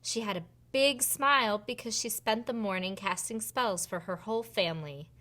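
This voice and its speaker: A woman reading a picture book aloud for preschoolers in an expressive storytelling manner. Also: mid-range voice, normal speed. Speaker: children's storybook reader and host.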